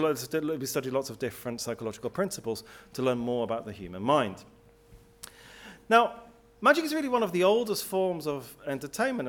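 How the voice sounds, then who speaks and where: Animated Swiss-raised man giving a lecture. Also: mid-range voice. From Switzerland. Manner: animated, emphatic lecturing with restarts. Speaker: guest speaker. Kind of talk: public lecture.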